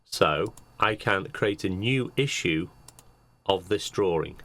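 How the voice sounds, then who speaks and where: A man giving an unscripted software walkthrough, explaining each step methodically as a demonstrator. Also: deep voice, lively intonation, slow pace. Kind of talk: screen-share tutorial narration, unscripted walkthrough. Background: computer keyboard typing.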